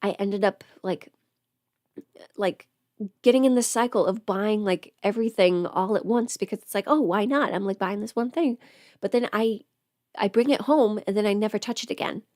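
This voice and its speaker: A woman's voice: mid-range voice, normal speed, darkish tone, dry recording. Young woman chatting casually, very dry sound. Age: young adult. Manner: chatty and informal, asking listeners rhetorical questions. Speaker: livestream host. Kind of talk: casual livestream chat.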